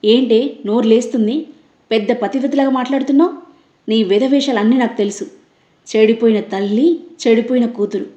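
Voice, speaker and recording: A woman's voice: fairly high pitch, steady tone, a little fast, warm tone, reverberant, dull audio, close to an everyday voice. A woman voicing a harsh, accusing middle-aged mother-in-law who hurls insults. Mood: scornful, furious.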